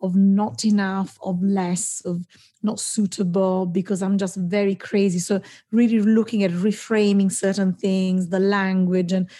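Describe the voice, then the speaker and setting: A woman whose first language is Italian speaks in a warm, soft-edged voice with a breathy texture. She talks effusively in long, winding thoughts as a guest on a podcast. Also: medium pitch, level tone, a little slow. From southern Italy. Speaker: guest, founder of a storytelling network. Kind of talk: casual conversational interview.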